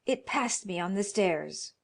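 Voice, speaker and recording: A woman's voice: mid-range voice, animated, a little slow, gravelly. A frightened old man from a horror storybook, played by a female narrator. He speaks in shaky, urgent tones, trembling with dread. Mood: shaken. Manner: shaking, urgent. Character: fearful, trembling old husband. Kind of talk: dramatised horror storybook reading.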